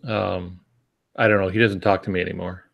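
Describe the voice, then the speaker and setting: A man's voice: low-pitched, fairly even intonation, fairly quick, gravelly, lo-fi sound. An older man giving writing advice in casual podcast talk, with a wry, self-deprecating, folksy manner. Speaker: podcast co-host and novelist.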